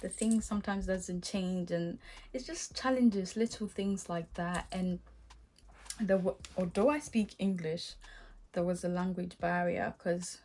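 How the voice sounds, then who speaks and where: A woman's voice: lowish voice, a little slow. A young woman from Ghana with a Ghanaian accent, casually telling a story to her vlog viewers and acting out her own reactions. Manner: animated, acts out her own past reactions with laughs. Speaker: vlogger.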